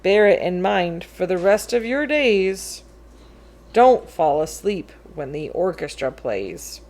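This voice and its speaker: Slow-paced woman reading a children's story. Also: lowish voice, sing-song, darkish tone. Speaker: read-aloud storyteller. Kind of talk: expressive children's picture book read-aloud in verse.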